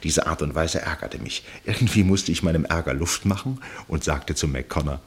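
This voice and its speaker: A cultivated gentleman narrating a radio drama. His voice sits very low with a husky grain. He speaks in measured phrases, with dry irony and an air of detachment. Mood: wry, measured. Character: wry, detached gentleman narrator and amateur chess player. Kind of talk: German radio play narration and dialogue.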